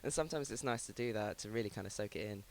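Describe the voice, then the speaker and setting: A man hosting a music show and introducing songs in casual chat. He sounds ill, his voice lowered by sickness, and he rambles hesitantly with frequent ums. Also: racing, vocal fry, slight background noise.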